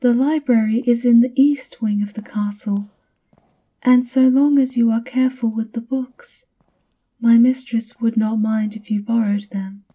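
A woman playing a subservient, coolly formal maid of a vampire countess in a whispered ASMR roleplay, speaking softly and politely with measured, breathy words. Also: fairly high pitch, steady tone. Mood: politely servile.

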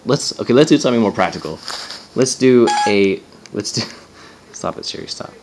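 A man's voice: lowish voice, expressive, normal speed, background noise. A man giving a casual hands-on gadget demo as a reviewer, offhand and sardonic, swearing and sounding exasperated.

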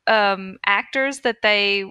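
A woman's voice: mid-range voice, takes it slowly, bright, forceful tone, clean tone, no room sound. A young woman co-hosting a podcast in casual, informal, slangy conversation. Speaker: podcast co-host. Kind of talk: casual chat.

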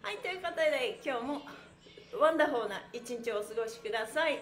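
A woman with a notably high voice hosts an exercise livestream in a roomy, echoing space. She is cheerful and encouraging, talking in a chatty way as she guides each movement. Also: expressive, a little fast, faint music behind. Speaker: stretch class instructor and host. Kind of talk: livestream exercise lesson, counting with chanted phrases. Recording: echoey room.

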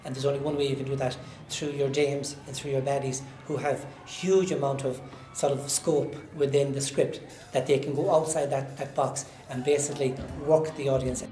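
A man's voice: medium pitch, flat delivery, normal speed, few pauses, warm tone. A man explaining things in an interview as a member of an amateur theatre group. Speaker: pantomime society member. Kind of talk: interview talk. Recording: echoey room. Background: faint music.

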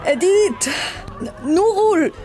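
A woman playing a merry, tipsy party game leader in an audio drama, announcing playfully and haltingly. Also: extremely high pitch, lively intonation, mellow, faint music behind, full character voice.

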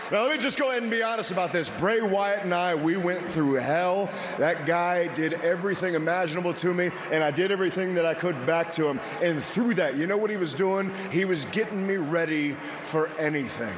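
A man in his forties cutting a cocky, shouted wrestling promo on a handheld mic in a large indoor arena. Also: high-pitched, a little fast, few pauses. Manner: shouting, drawling, cocky swagger. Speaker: pro wrestler. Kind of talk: wrestling promo to a live crowd. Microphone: handheld microphone. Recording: echoey arena, crowd noise.

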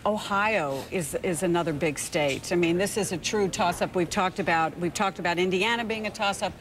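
A woman's voice: lowish voice, normal speed, slight background noise. A woman giving matter-of-fact political analysis on a studio panel. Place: television news studio.

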